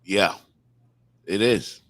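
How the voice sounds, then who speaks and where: Male co-host from the Bahamas, low voice, dry close sound, casual morning chat, repeats himself for emphasis. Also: drawn-out words, fairly bright tone, no echo. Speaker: talk show co-host.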